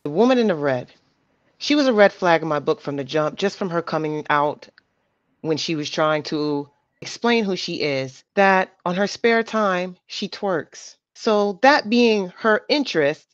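A woman's voice: on the low side, animated, a little slow, no echo. A woman hosting a reaction channel, giving emphatic, lecturing commentary on dating. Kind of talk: opinionated commentary. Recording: dull lo-fi dry voice.

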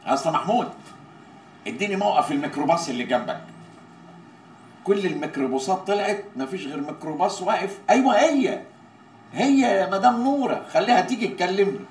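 Officious Egyptian sitcom clerk, demanding, declamatory, high-pitched, roomy sound with faint noise. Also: male voice, expressive, fast talker, pauses often, a little mellow. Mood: casual, chatty. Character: pompous, demanding TV employee dictating absurd contract clauses. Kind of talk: Arabic comedy series acting. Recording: echoey room with faint background noise.

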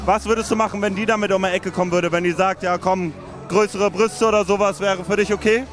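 A man's voice: high voice, fairly even intonation, quick pace. A man in his thirties doing casual street interviews in a teasing, chummy, informal way. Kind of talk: casual vox-pop interviewing. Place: large busy nightclub. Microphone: handheld reporter microphone. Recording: loud club music and crowd behind.

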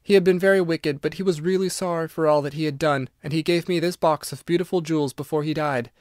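A quiet, solemn young girl as a man puts her on speaks softly and gravely in a lifted voice. Her tone stays calm and serious, in storybook reading. The recording is dry and close. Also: a little high, quick pace, no room sound, pitched above the performer's own voice, natural voice.

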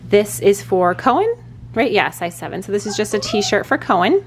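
A woman's voice: medium pitch, sing-song, moderate pace. A woman in her thirties vlogging casually from a parked car and a hotel room, rambling with lots of fillers. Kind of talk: casual vlog chat. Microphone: camera held at arm's length.

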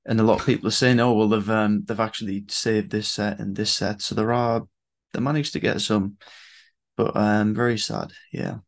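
A man's voice: lowish voice, level tone, moderate pace. Young British man chatting casually. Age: young adult. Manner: informal, reactive. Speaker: interviewer, online show host. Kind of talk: casual chat. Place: small home room, indoors, on a video call.

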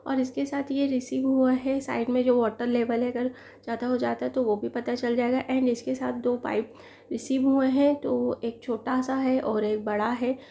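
Chatty woman vlogger, mellow tone. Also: on the high side, level tone, normal speed, gravelly, a little hiss or hum. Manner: chatty, talks straight to viewers, admits she is no expert. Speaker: lifestyle vlogger. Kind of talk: casual product unboxing. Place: living room at home, indoors.

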